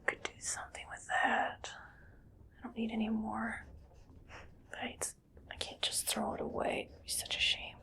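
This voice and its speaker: A woman playing an eerily calm, gleefully morbid mad scientist in an ASMR roleplay, speaking in a hushed, breathy, musing whisper. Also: fairly high pitch, heavily acted. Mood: musing, absorbed. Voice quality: breathy whisper with soft creaky voicing. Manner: slow, hushed, musing to herself.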